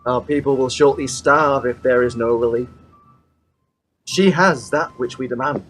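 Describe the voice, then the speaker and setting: A man's voice: medium pitch, a little slow, a bit bright, slight background noise, close to an everyday voice, poor audio. An actor playing an anxious, deferential king's retainer in a radio play, reporting earnestly in archaic speech. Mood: grave.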